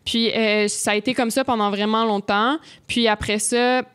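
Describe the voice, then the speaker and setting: A woman's voice: a little high, fairly even intonation, normal speed, fairly bright tone, smooth. A young woman with a Québécois French accent, chatting casually as a podcast guest and rambling with plenty of fillers. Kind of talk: casual chat.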